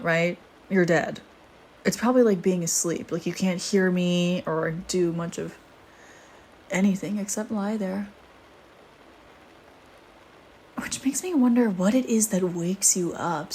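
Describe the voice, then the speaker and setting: A woman's voice: lowish voice, unhurried, a little mellow, a little hiss or hum. A woman playing a flirty, teasing vampire in an intimate audio roleplay, with a sardonic, casual manner. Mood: musing, playful. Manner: sardonic, teasing, casual murmuring with sighs and whispered asides.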